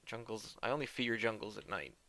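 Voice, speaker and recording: A man's voice: lowish voice, animated, a little fast, bright, forceful tone. A man giving chatty, self-deprecating let's-play commentary while he plays a game. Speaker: gamer commentator.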